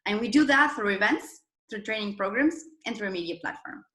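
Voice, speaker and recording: A woman with a bright, forward voice talks as an interview guest. She is enthusiastic and emphatic as she explains things conversationally, and the room echoes clearly around her. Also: mid-range voice, animated, fairly quick. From Argentina. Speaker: interview guest, startup founder and former journalist. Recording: roomy, echoing sound.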